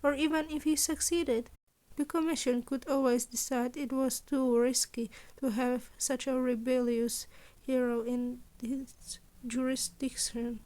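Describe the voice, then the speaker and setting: A woman narrates a written story for a podfic. Her voice is notably high, and she delivers the prose as scripted storytelling. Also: a little mellow.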